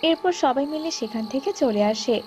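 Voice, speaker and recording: High-voiced woman narrating a children's story. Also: brisk, a little mellow.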